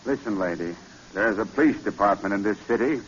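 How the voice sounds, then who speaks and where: An actor playing a blunt, sarcastic, impatient police commissioner in 1940s radio style. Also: male voice, on the low side, lively intonation, a little fast, lightly acted. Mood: gruff authority. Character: gruff, exasperated male police commissioner. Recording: muffled old radio recording with hiss.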